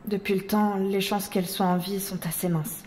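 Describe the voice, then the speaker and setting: A woman's voice: mid-range voice, level tone, moderate pace, a little mellow, a little hiss or hum, lightly acted. An actress playing an earnest, troubled mother who doubts herself, serious and hesitant, in an audio drama. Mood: sad, self-reproaching. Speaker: voice actor in a fan audio drama. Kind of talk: French audio drama acting. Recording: roomy sound with music behind.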